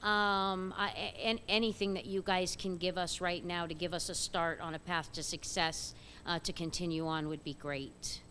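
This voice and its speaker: A woman's voice: fairly even intonation, normal speed. A woman who manages a resort speaks casually at a community meeting's open forum, hedging often. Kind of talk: public comment at a meeting. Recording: echoey room with loud rumble.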